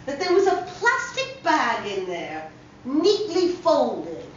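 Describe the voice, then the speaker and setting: A woman's voice: sits high, huge pitch swings, slow pace, a little hiss or hum, pitched above the performer's own voice, exaggerated voice. A woman voicing a thrifty, proud elderly widower courting with emphatic declarations, in a dramatic story reading. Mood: proud, enthusiastic. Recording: very echoey room, distant mic, slightly dull.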